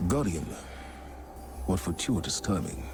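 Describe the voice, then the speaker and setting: A deep-voiced, stern military commander. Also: male voice, very animated, a little slow, background noise. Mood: measured, diplomatic. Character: stern, measured military commander of humanity's forces. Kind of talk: video game cutscene acting.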